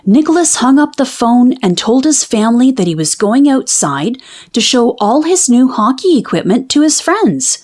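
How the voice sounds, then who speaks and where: High, lively woman reading a storybook. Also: normal speed. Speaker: children's book reader. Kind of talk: expressive storybook read-aloud narration.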